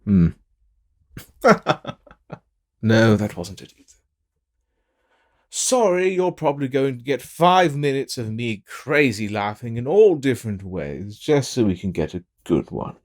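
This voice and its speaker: A man's voice: medium pitch, darkish tone, full character voice. A man playing a sassy, self-absorbed fantasy villain in an audio drama, with a theatrical, drawling and melodramatic delivery. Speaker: fan audio drama voice actor and narrator. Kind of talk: theatrical in-character outtakes.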